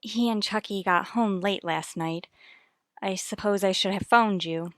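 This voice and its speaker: A woman's voice: mid-range voice, unhurried, no room sound, close to an everyday voice. Woman acting a guarded, troubled radio-soap wife. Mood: polite. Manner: evasive, restrained politeness. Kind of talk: old-time radio soap opera acting.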